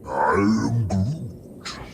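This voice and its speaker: A man playing a gentle giant tree creature in a video game, with a gravelly voice, repeating a short phrase slowly and calmly. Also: lowish voice, a little hiss or hum, full character voice. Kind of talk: video game character acting.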